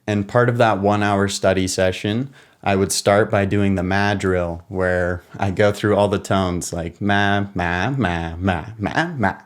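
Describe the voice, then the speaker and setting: A young American man in a casual, relaxed monologue, explaining things step by step and sometimes correcting himself as he goes. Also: low voice, measured pace. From United States. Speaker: podcast co-host.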